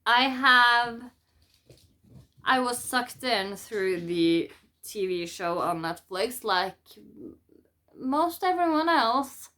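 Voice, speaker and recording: This is a woman with a Norwegian accent, speaking English as a second language after her native Norwegian. Her voice sits somewhat high for a woman. She talks at a markedly unhurried pace, in the informal, chatty style of a book vlogger. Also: animated, bright, forceful tone. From Norway. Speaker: book vlogger presenting a haul. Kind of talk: casual chat to camera.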